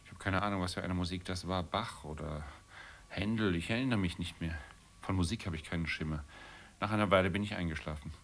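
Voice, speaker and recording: Weary, deep, gravelly middle-aged man. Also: fairly quick. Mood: wry reminiscence. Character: weary, grumbling middle-class Roman man, self-deprecating, nostalgic. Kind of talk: naturalistic German radio drama dialogue.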